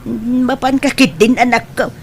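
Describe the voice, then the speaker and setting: A woman playing a caring older mother in an Ilocano family drama, speaking gently in an Ilocano accent. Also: on the high side, fairly even intonation, normal speed, husky. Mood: caring, advising. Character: caring older mother counselling her grown son. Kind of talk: Ilocano radio-style family drama acting.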